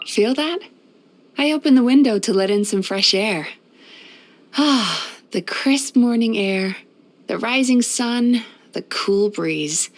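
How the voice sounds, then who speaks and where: A woman voicing a gentle, caring, centuries-old dream-eating spirit who works as a therapist in a video game, speaking in a soothing, polite way. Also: medium pitch, creaky. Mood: calm, refreshed. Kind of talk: video game character voice lines.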